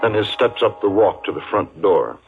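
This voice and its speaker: An actor narrating a 1950s radio suspense drama as a solemn storyteller, in a measured, ominous manner with dramatic pauses. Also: male voice, on the low side, very animated, creaky, slight background noise. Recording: muffled vintage radio broadcast. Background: music.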